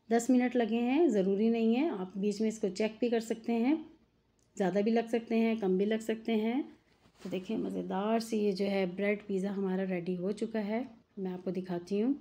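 Mellow-voiced chatty home cooking mother. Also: medium pitch. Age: adult mother. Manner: chatty, homely, addresses viewers directly. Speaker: cooking channel host. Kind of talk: home cooking tutorial voice-over.

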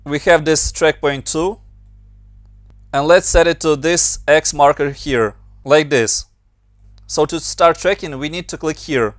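Ukrainian-accented male tutor, a bit higher voice, very dry close mic, patient step-by-step walkthrough. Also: a little slow, no echo. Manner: plain, step-by-step instructions with slightly non-native grammar. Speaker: motion designer and tutorial maker. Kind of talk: screen-recorded software tutorial.

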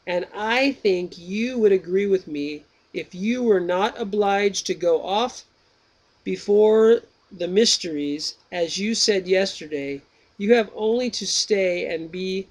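A man reading aloud the lines of Socrates, a patient older philosopher who questions his pupil in slow, deliberate phrases. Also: sits high, voice pushed up, low-quality recording. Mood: probing.

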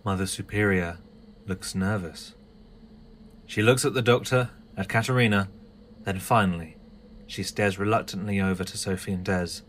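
A man narrates an audio drama from a script in a fairly low voice. Clear ambient noise runs behind him. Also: steady tone, normal speed, a bit bright.

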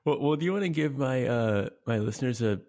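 A man hosting a podcast, reading his intro and then chatting with a guest in a relaxed, slightly halting way. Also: medium pitch. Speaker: podcast host.

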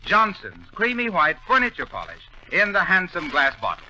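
A man's voice: sits high, huge pitch swings, normal speed, low-quality recording. A man reading a scripted 1930s radio commercial with a polished, emphatic sales delivery. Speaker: radio announcer reading sponsor advert.

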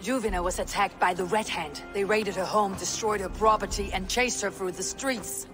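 An actress playing a blunt, resolute Viking warrior woman in a video game, speaking in measured phrases. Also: medium pitch, fairly even intonation, music in the background, natural voice. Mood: firm, insistent. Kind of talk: video game cutscene acting.

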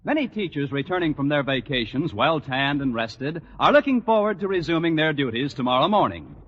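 A muffled, reverberant vintage radio recording of an American male announcer. He is bright, high for a man and lively, with polished, emphatic delivery. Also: brisk, a little hiss or hum. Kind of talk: 1940s radio sponsor announcing and narration.

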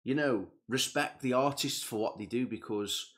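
A man's voice: mid-range voice, moderate pace. A young man hosting a music reaction video, chatting casually and enthusiastically, turning earnest on serious points, speaking into a headset in a small room. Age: young adult. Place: small indoor room with gaming chair and purple lights.

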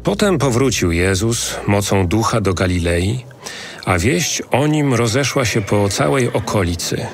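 A male narrator delivers scripted biblical narration over clear music. His voice sits fairly low and moves slowly, with a solemn, deliberate manner. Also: expressive.

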